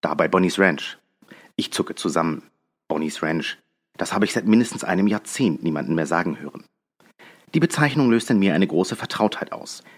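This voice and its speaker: Male essayist from Berlin, very low voice with lively melody, measured reflective read. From Berlin, Germany. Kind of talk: scripted first-person essay narration.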